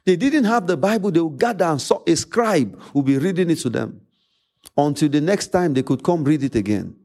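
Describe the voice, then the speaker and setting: High, animated Nigerian male preacher. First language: Yoruba. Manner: emphatic, repeats phrases, dramatic pauses. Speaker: pastor. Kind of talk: preaching a sermon.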